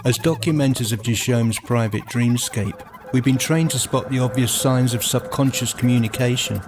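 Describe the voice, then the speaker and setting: A man reading scripted first-person literary narration in a measured, formal manner, as the narrator of a radio play. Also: medium pitch, background noise. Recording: voice over prominent music. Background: music.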